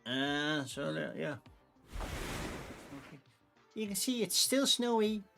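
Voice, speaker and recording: A man's voice: a little high, animated, slow, gravelly, over music. A Dutch man in his forties with a Dutch accent, chatting casually and rambling on a livestream, with frequent restarts. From Netherlands. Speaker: streamer.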